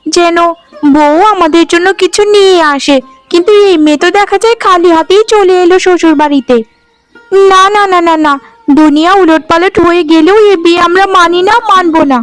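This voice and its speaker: A woman's voice: very high voice, steady tone, a little fast, faint music behind. A woman voicing a spiteful, bossy young sister-in-law in a cartoon, scolding and taunting haughtily. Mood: indignant. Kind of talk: Bengali cartoon dialogue acting.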